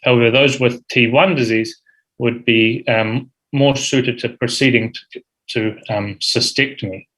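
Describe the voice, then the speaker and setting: Kiwi guy giving a medical lecture, slightly husky, pauses and ums a lot. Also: mid-range voice, fairly even intonation, a bit bright, poor audio. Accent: New Zealand. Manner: measured, frequent ums and uhs. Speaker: urology fellow giving grand rounds talk. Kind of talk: academic medical presentation.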